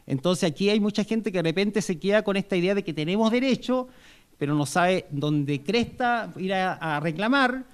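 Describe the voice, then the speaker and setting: A man with a Chilean accent giving a conversational talk at a university panel, with frequent fillers and restarts. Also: high-pitched. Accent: Chilean Spanish. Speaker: panel speaker. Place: university lecture hall.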